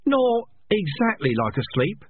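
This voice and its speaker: A squad of small storybook rats, put on by a male narrator, squeaking and bickering in fretful, high voices that leap up and down in pitch. The sound is thin and tinny, as if heard down a phone line, with a faint hiss behind it. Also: wildly swooping pitch, moderate pace. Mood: puzzled.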